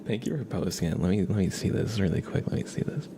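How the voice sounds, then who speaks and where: A man with a lowish, creaky voice talks softly to his livestream viewers, often dropping to a near whisper. He is casual and amused, speaking close to an ASMR microphone with clear noise behind him. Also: lively intonation, fast talker. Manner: hushed, amused, chatting to viewers. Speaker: live streamer, ASMR host. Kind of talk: casual ASMR livestream chat, soft-spoken to half-whispered.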